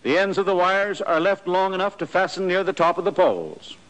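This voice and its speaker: Higher-voiced American man, 1940s film narrator. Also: a little fast, fairly bright tone, rough recording. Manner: formal, precise, matter-of-fact delivery.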